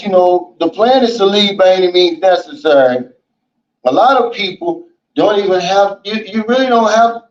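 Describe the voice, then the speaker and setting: A man hosts a casual livestream and reads viewer comments aloud. He talks in a relaxed, folksy way, with a slightly high voice. The sound is roomy, dull and lo-fi. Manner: relaxed, folksy, often says "man" and "you know". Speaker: livestream host.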